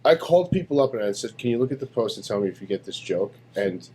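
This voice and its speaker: A man co-hosting a podcast in casual chat, rambling with halting restarts and easy laughs. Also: on the low side, expressive, a crowd in the background. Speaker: podcast co-host.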